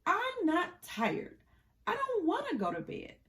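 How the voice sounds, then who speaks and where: A woman voicing a restless, playful male animal in a children's storybook, squeaky and childlike in delivery. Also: wildly swooping pitch, unhurried, a bit bright, full character voice. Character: playful, restless male animal friend who loves jamming.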